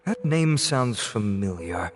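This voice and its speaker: An actor playing a polite, composed, by-the-book law officer in a video game, formal and measured. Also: male voice, fairly low pitch, very animated, a little hiss or hum. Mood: polite, helpful. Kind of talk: scripted video game voice acting.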